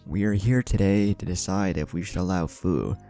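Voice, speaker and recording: A man's voice: low voice, normal speed, warm tone. A man voicing a short-tempered older woman who leads a village, speaking with formal authority in a fan story. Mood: formal, firm. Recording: background music under voice.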